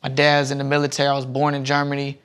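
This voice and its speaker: A man's voice: medium pitch, monotone, racing, fairly bright tone, clear, resonant tone. A young man guesting on a podcast, telling rambling stories in casual chat with many restarts, on a lapel mic in a studio lounge. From Southern United States. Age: young adult.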